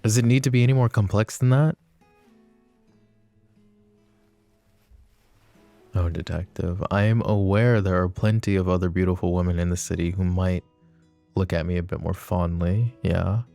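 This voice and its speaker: A very low, gravelly voice for a brooding mafia boss, acted by a man. He is possessive and sardonic yet protective, speaking intimately and darkly as in an ASMR audio roleplay, with faint music underneath. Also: fairly even intonation, fairly quick, mellow, no room sound. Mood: earnest, tender. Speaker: voice actor. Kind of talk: boyfriend ASMR roleplay acting.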